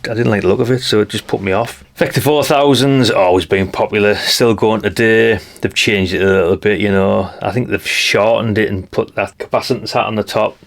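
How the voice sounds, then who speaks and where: A man's voice: lowish voice, steady tone, fairly quick, hardly any pauses. A middle-aged man with a North East English accent, giving casual, rambling commentary in a chatty, matter-of-fact way, often ending remarks with tag questions. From North East England. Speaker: vlogger.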